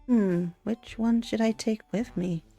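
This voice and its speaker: A woman's voice: on the high side, fairly even intonation, slow, gravelly, dark tone, faint music behind, exaggerated voice. A woman voicing a shy, easily flustered young schoolboy in a visual novel, in a tentative, mild way. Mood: musing.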